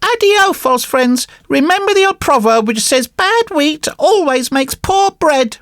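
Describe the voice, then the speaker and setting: A woman's voice: high voice, animated, unhurried, no room sound, clean studio sound, voice pushed up. A woman voicing an earnest, polite wooden marionette boy in a storybook, speaking in formal, emphatic exclamations. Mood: scornful.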